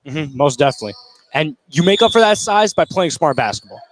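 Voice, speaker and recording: Higher-pitched man, casual basketball colour commentary. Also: lively intonation, fairly quick, a little hiss or hum, rough recording. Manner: colloquial, interjecting short reactions. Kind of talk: casual live sports commentary. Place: indoor basketball gym, courtside.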